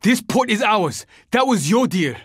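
A man's voice: sits high, very animated, measured pace, slightly rough, no room sound, studio quality, exaggerated voice. A man voicing a young survivor in a zombie video game, terse, earnest and urgent. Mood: focused, pressed. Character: young Japanese male survivor fighting a zombie horde, urgent, determined. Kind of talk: video game voice lines, dramatic combat barks.

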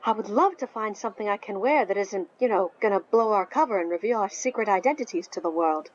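A woman's voice: medium pitch, fast talker, lo-fi sound, close to an everyday voice. An actress playing an earnest, self-doubting teenage magical girl in a visual novel game, with a hesitant manner. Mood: anxious, frustrated.

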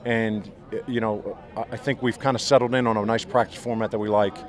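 A middle-aged man, a head football coach, giving a postgame interview outdoors on the field, talking fast and restarting his sentences often. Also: on the low side, level tone. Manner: fast, rambling, many restarts. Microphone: handheld mic held by interviewer.

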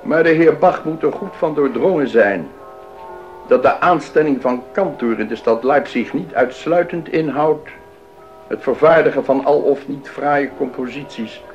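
A man's voice: a little high, measured pace, dull audio, faint music behind, lightly acted. A man playing a stern, pompous, pious councillor in a radio play, with formal, emphatic delivery. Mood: stern, officious. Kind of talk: 1980s Dutch radio play acting.

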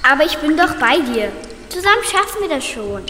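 A woman's voice: high-pitched, very animated, fairly quick, bright, forceful tone, full character voice. A woman acting in a children's radio play in a confident, matter-of-fact way, in a roomy, slightly noisy recording. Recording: roomy echo with faint noise.

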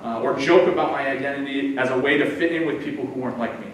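A man's voice: medium pitch, fast talker, a bit bright. Man preaching in an echoey church. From United States. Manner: conversational preaching, gesturing with his hands. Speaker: guest preacher. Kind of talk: sermon. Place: church sanctuary, indoors. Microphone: far camera. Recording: echoey church hall from far off.

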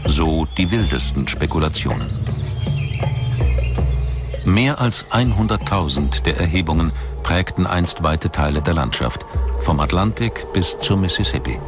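A man narrating a scripted documentary in a measured, storytelling delivery. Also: low-pitched, animated. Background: music.

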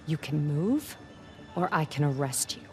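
A grim, vengeful police captain. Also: female voice, low-pitched, expressive, a little slow, dark tone, soft background music. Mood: cold. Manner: cold, clipped, determined. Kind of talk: video game voice acting.

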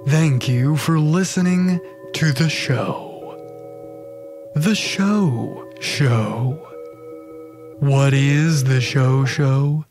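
A man playing a gleefully sinister radio show host in dark comedic audio theatre, theatrical and mock-soothing. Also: mid-range voice, very slow, faint music behind. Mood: showy.

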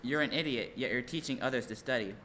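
A man's voice: mid-range voice, quick pace, pushed and bright, lightly acted. A man playing a blunt, scolding teenage anime schoolboy in an amateur comic dub. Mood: scornful. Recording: faint background noise.

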